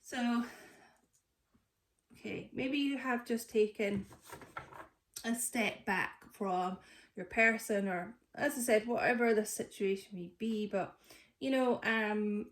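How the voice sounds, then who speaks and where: A woman's voice: mid-range voice, slow pace. Stuffy-nosed woman reading tarot cards. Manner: chatty, lots of fillers and restarts. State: stuffy from a cold. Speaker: tarot reader. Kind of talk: casual tarot reading to camera. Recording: echoey room.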